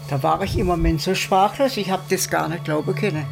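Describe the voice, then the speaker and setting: A woman's voice: on the low side, brisk, vocal fry, faint music behind. A woman telling a personal recollection in informal speech, quoting as she goes.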